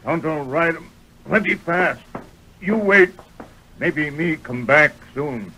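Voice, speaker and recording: An actor playing a calm, stoic scout in a radio western, speaking terse, halting pidgin English. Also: male voice, fairly high pitch, heavily acted. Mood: brisk. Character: Tonto, calm, stoic male frontier scout and helper. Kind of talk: 1930s-40s radio western acting. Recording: noisy vintage radio recording.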